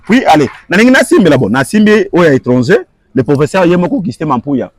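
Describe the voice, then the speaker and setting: A man's voice: fairly high pitch, sing-song, fast, breathy edge, no room sound. A man answering an interviewer, arguing his point with repeated rhetorical questions and switching between French and Lingala. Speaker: guest.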